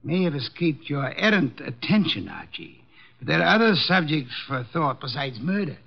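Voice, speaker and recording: A man's voice: a little high, big pitch swings, normal speed, gravelly, full character voice. A man playing a lazy, pompous, peevish, very fat genius detective with ornate diction in a 1950s radio drama. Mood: irritated, imperious. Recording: dull vintage radio recording.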